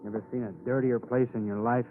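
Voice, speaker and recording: A man's voice: mid-range voice, flat delivery, fast talker, close to an everyday voice. Wry radio cop sidekick, a male actor. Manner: sardonic, clipped, tagging remarks with questions. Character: wry, matter-of-fact male police detective sidekick. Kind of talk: 1950s radio crime drama acting. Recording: narrow-band old radio, faint noise.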